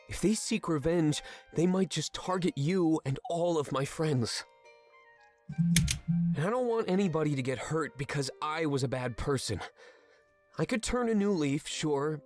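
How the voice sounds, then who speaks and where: Casting: male actor as repentant rogue turned loyal servant, fantasy drama. Slightly high voice, anxious, earnest, humble. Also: a little mellow, lightly acted. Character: reformed male swindler, remorseful, anxious, devoted servant of the monarch. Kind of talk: fantasy audio drama acting.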